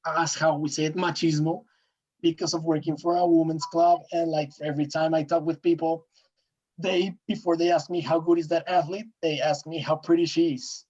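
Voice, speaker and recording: A man's voice: fairly high pitch, level tone, normal speed, darkish tone, lo-fi sound. A man from Colombia whose first language is Spanish, speaking with a Colombian accent as a podcast guest, earnest and searching for words with many "eh" fillers. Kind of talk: casual interview talk.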